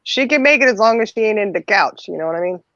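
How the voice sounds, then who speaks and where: A woman's voice: mid-range voice, expressive, fast talker, fairly bright tone, no room sound, lo-fi sound. An older woman, a grandmother, chatting in a folksy, sympathetic way with easy laughter. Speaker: co-host. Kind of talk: casual chat.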